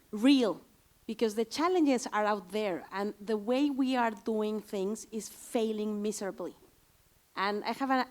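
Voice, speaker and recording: A woman panelist speaks in earnest, fluent sentences with an urgent push behind them. Her pitch is middling and steady. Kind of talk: closing remarks at a panel.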